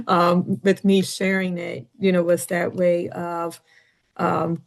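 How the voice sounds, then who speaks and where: A woman from Ohio introducing herself in an online circle, talking in an unhurried, friendly way with many fillers. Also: on the low side, creaky, a little mellow. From Ohio, United States. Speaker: participant.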